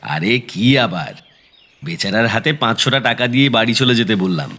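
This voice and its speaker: An actor playing a kindly, easygoing middle-aged neighbourhood bachelor in an audio drama, speaking colloquially with breezy earnestness. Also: male voice, on the low side, lively intonation, moderate pace, bright, forceful tone, full character voice. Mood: matter-of-fact, kindly. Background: background music.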